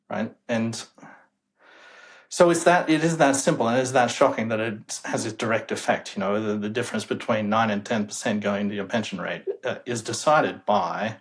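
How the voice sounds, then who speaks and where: A young man, a demographer guest in an interview, talking emphatically and sounding incredulous about the data, chuckling now and then. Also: lowish voice. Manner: emphatic, incredulous, chuckles while making points. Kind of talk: casual interview talk.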